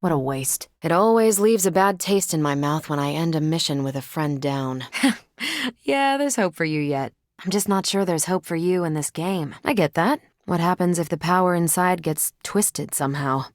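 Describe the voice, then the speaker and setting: A woman's voice: lowish voice, moderate pace, dry recording, close to an everyday voice. Woman doing a cocky wisecracking superhero lady for a video game, american accent, punchy delivery, dry studio sound. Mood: confident banter. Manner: wry, punchy, self-assured. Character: confident, wisecracking, battle-ready female superhero. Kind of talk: video game character voice lines.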